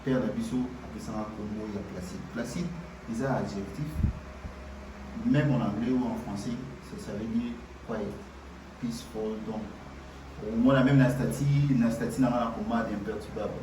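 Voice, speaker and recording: A man's voice: on the low side, fairly even intonation. A man from Kinshasa, talking hesitantly as a guest musician in an interview. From Kinshasa, Congo. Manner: hesitant, halting, many fillers. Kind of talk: casual interview talk. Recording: distant echoey noisy remote line.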